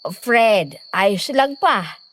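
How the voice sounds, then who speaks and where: A woman's voice: medium pitch, expressive, drawn-out words, fairly bright tone, a little hiss or hum. A woman playing a fussy middle-aged village mother in an audio horror drama. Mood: apologetic, hospitable.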